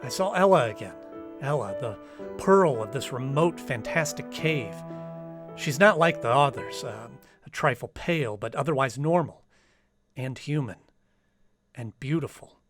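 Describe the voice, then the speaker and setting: A man's voice: mid-range voice, lively intonation, measured pace, close to an everyday voice. A man playing an earnest, anxious trapped diarist in an old-time radio drama, speaking uneasily. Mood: tender. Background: music.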